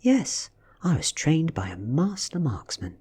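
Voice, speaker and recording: A man voicing an ancient female vampire posing as a blunt, teasing high school girl in a softly read story. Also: big pitch swings, unhurried, exaggerated voice. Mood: boastful, aloof. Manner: forthright, teasing, boastful. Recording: close, soft-spoken ASMR recording with husky breath.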